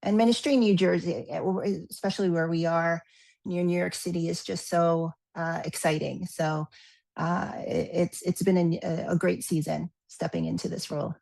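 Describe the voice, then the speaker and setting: Gravelly-voiced woman pastor, low-pitched. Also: steady tone, moderate pace, darkish tone. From Philippines. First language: Tagalog. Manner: thoughtful, many fillers and restarts. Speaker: executive pastor, guest. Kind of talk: casual interview answers.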